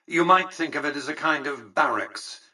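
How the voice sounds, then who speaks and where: Pompous, raspy elderly tycoon. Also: male voice, on the high side, moderate pace, sharp tone, recorded from a distance, full character voice. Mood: lofty, businesslike. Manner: formal, pompous, precise diction; condescending. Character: imperious elderly male tycoon ruling a casino city, coldly calculating. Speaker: video game character. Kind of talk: scripted game dialogue.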